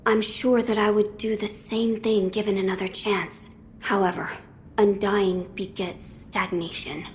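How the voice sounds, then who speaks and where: A woman voicing a young noble boy lord in a video game, formal, measured and earnest. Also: medium pitch, flat delivery, a little hiss or hum, natural voice. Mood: grave resolve. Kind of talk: video game cutscene acting.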